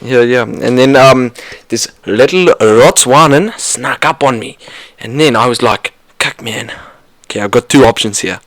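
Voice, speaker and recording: A man's voice: mid-range voice, measured pace, fairly bright tone, no echo. A man voicing a boastful fake South African war veteran, talking in an offhand way. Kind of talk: comedy podcast bit.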